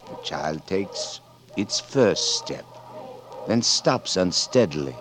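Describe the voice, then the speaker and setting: A male actor in a 1940s radio drama playing an earnest, weary, reflective scientist with a deliberate manner. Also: deep voice, animated, background noise. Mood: measured, persuasive. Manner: deliberate, ruminative.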